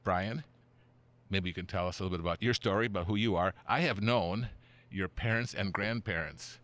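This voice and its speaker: A man's voice: low voice, fairly quick, fairly bright tone. An older man hosting a podcast interview, chatty and rambling, chuckling now and then and restarting his sentences. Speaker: podcast host. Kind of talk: casual interview.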